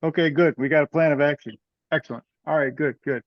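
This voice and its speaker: A man's voice: on the high side, fairly even intonation, fast, dry recording. A man chairing a casual online meeting over a conference call. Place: teleconference. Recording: dull, dry, band-limited conference call.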